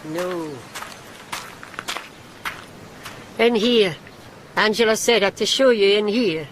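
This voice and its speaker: An eerie radio-drama guide played by a woman, curt and insistent, giving flat orders. The voice hangs in a long, hollow reverb with some faint background noise. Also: mid-range voice, moderate pace, natural voice. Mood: curt, commanding. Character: Martha, terse, eerie, insistent female guide in a derelict hospital.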